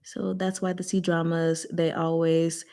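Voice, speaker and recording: Chatty, animated woman discussing a book. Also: sits low, measured pace. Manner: animated, giggly, slangy retelling with acted-out dialogue. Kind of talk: casual chatty book discussion, retelling scenes.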